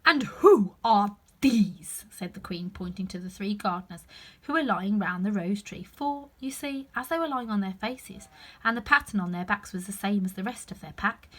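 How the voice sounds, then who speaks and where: A woman's voice: medium pitch, a bit bright. A woman reading a children's story aloud expressively, with chatty asides to the listener.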